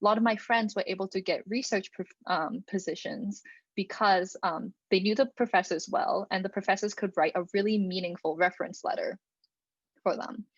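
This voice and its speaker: A woman's voice: mid-range voice, normal speed, no echo, highs cut off. A young woman from Alberta, Canada, giving a student presentation on a video call in a casual way, with lots of "um" and "like". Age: young adult. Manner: casual, many fillers and "like". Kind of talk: online presentation talk. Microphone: computer mic on a video call.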